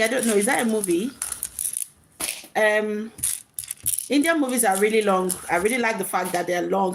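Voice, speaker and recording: A woman's voice: medium pitch, slight background noise, rough recording. A woman hosting a casual live chat, speaking reflectively in short, repeated phrases to her viewers. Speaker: live stream host.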